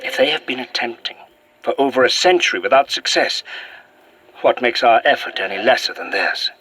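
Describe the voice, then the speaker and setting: Haunted Victorian gentleman, husky, tense bright edge, formal diction, earnest, audio drama. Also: medium pitch, expressive, brisk. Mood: grim, challenging. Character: courteous, haunted Victorian gentleman, revived in modern times. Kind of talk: found-footage horror audio drama acting.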